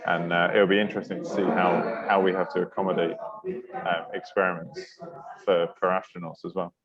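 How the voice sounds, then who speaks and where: A man's voice: low voice, flat delivery, moderate pace, over a noisy crowd. A native English-speaking man moderating an online workshop, hesitant and apologetic with many fillers. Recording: dull lo-fi call audio.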